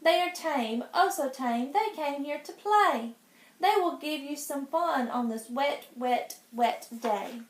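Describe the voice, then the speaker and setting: A woman's voice: sits high, expressive, slow pace. A woman voicing a playful, boastful talking cat in exuberant, sing-song storybook rhyme. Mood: reassuring. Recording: roomy echo.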